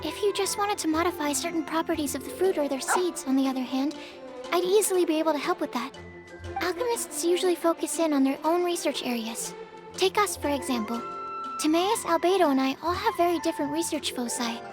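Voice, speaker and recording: High soft-spoken alchemist girl, shy and apologetic, music under. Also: fairly quick. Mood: polite. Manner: timid, apologetic, stammers. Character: young female alchemist, shy, polite and precise. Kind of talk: video game cutscene dialogue. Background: game music.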